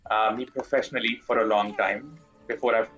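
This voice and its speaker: A man's voice: fairly low pitch, fairly even intonation, brisk, fairly bright tone, highs cut off, soft background music. A man from Pakistan chatting casually and candidly as he live-streams a game, informal and reflective. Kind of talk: casual live-stream chat during gaming.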